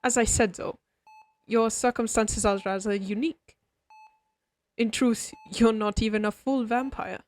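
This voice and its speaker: A woman voicing a refined, friendly vampire doctor in a scripted roleplay, explaining things to the listener in a formal, measured, courteous bedside manner. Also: a little high, fairly even intonation, darkish tone, natural voice. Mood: courteous, explanatory. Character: refined, oddly friendly vampire doctor, centuries-old aristocratic healer. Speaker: doctor character in a roleplay audio. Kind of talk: scripted comfort roleplay, spoken directly to listener.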